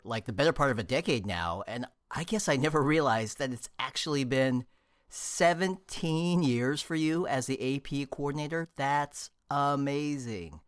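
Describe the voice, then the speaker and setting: A man's voice: medium pitch, smooth, dry recording. Upbeat male podcast host, dry sound. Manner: upbeat and curious, laughs easily. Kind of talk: interview hosting.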